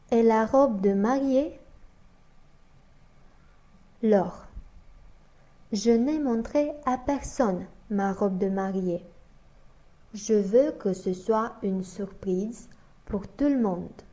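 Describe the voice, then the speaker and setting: A woman slowly reading a scripted learner dialogue aloud, naming each speaker before their line. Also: medium pitch, mellow. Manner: slow, deliberate, reads both parts and announces each speaker.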